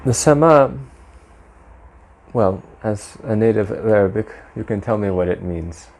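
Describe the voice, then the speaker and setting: A man, a Sufi practitioner, giving measured, explanatory interview answers, dropping in Arabic religious terms. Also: lowish voice, expressive, darkish tone. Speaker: guest, Mevlevi Sufi practitioner.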